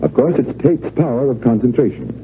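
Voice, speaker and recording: An actor playing a confident, unruffled, slightly smug stage magician in an old radio drama. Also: male voice, mid-range voice, very animated, fairly quick, lightly acted. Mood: smug, teasing. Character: confident, cool-headed male stage magician. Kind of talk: 1940s radio drama acting. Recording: tinny, narrow, noisy old radio recording.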